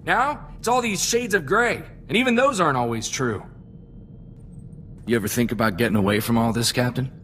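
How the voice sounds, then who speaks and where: A man's voice: mid-range voice, expressive, moderate pace, a bit bright, a little hiss or hum, natural voice. A man playing an earnest, loyal young sidekick in a space-adventure game, talking in a plainspoken, folksy, reflective way. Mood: troubled, reflective. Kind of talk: video game cutscene dialogue.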